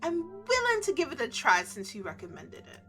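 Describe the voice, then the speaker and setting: A woman's voice: high voice, wildly swooping pitch, moderate pace, pushed and bright, faint music behind, heavily acted. Woman voicing a reserved, formal male winter spirit. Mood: calm, matter-of-fact.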